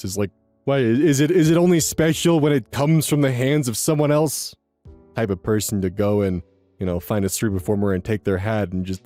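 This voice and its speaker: A man's voice: mid-range voice, warm tone. A young man reading online posts aloud and commenting on them in a rambling, casual way, repeating words and swearing now and then.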